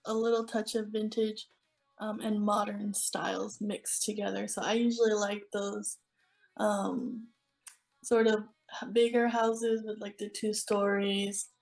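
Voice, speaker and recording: A woman from Florida speaks as an interview guest. Her voice sits at a medium pitch and her answers are casual and conversational. There is faint music in the background. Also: flat delivery, measured pace, creaky. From Florida, United States.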